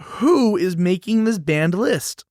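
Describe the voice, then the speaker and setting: A woman's voice: medium pitch, expressive, slow pace, mellow, no echo, clean studio sound. A woman playing a naive, bewildered children's storybook in a puppet show, innocent, questioning and incredulous in her delivery. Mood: earnest, confused. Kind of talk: theatrical puppet show dialogue.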